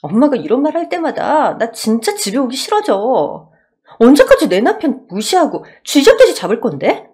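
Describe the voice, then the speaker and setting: A woman acts out an exasperated wife and daughter in an audio drama. Her pitch is lifted and lively as she protests, indignant and pleading. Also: normal speed. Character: exasperated wife, defensive daughter standing up for husband.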